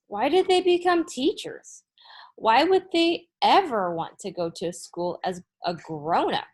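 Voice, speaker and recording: A woman voices an eight-year-old second-grade boy telling his own storybook tale. He is blunt and opinionated about hating school, and he spells out his points slowly and emphatically. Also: medium pitch, breathy edge, full character voice. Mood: puzzled. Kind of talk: children's storybook read-aloud.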